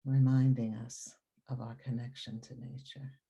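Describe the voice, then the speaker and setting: A woman leading a guided meditation in a calm, soothing, unhurried manner with long pauses and a soft, breathy voice. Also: very deep voice.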